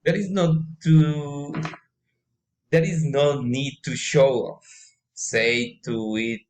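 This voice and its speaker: A man reading a stubborn, teasing witch in a children's storybook, with halting, emphatic delivery. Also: a little high, steady tone, darkish tone, a little hiss or hum, pitched above the performer's own voice. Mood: scolding.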